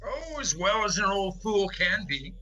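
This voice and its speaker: A man's voice: high voice, very animated, unhurried, bright, forceful tone, full character voice, rough recording. A man playing a kindly old boss in a radio play, courtly, fond and a little hesitant in an old-fashioned way. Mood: genial, courtly.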